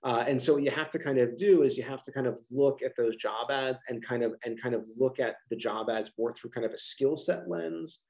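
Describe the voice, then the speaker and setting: A man's voice: medium pitch, brisk, vocal fry, hardly any pauses, darkish tone. A middle-aged man with a Philadelphia accent, a college professor answering interview questions casually on a video call, with many restarts and fillers.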